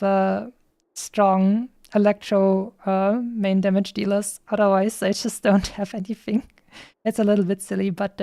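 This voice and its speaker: A woman game streamer talks casually in a mellow, medium-pitched voice. She rambles and drops playful asides. The recording is dry and close. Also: no echo. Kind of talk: casual livestream chat.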